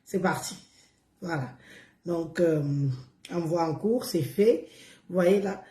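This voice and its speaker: A hoarse woman chatting on a live stream, repeating herself and coaxing viewers along with drawn-out words. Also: on the low side, mellow, roomy sound. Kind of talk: casual live stream chat.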